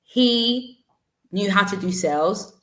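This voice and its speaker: A woman business owner answering interview questions frankly, in long steady runs with restarts. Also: medium pitch, takes it slowly. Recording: roomy echo.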